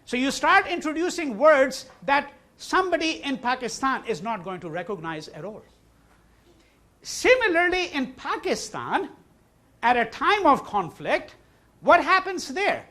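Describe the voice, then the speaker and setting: Animated male lecturer, high voice. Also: a little slow. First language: Hindi. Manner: repeats key words for emphasis, asks and answers own questions. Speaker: university lecturer. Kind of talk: classroom lecture. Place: lecture hall.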